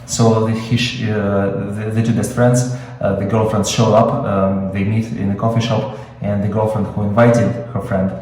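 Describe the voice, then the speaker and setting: A man's voice: on the low side, level tone, normal speed, darkish tone, very echoey, far from the mic, low-quality recording. A man with a Russian accent, Russian his first language, teaching screenwriting in an improvised webinar, explaining with many fillers and restarts. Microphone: video call.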